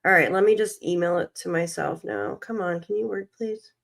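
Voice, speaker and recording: A woman's voice: on the low side, moderate pace, vocal fry. A tired woman hosting a casual livestream, reading documents aloud and muttering to herself as she searches, coughing and losing her voice. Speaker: livestream host.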